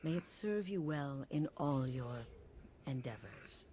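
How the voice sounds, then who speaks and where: An actress voicing a cool-headed female leader of an assassin guild in a video game, speaking softly and slipping into whispers. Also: extremely low pitch, lively intonation, slow, slight background noise, heavily acted. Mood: smooth, welcoming. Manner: soft, intimate, dropping to whispers.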